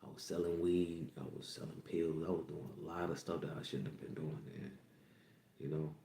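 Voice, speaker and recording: Young guy hosting a podcast, real low voice, rambly and candid, kinda echoey room. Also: completely flat delivery, normal speed, gravelly, warm tone. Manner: candid, rambling, repeats himself. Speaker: podcast host. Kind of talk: personal storytelling to a livestream audience.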